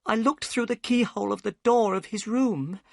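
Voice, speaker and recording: A reader voicing a tall, frightened old housekeeper woman who pleads in a high, trembling voice, in a storybook reading. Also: moderate pace, creaky, a little mellow, no room sound, clean studio sound, lightly acted. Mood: pleading. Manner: high-pitched, pleading, tearful.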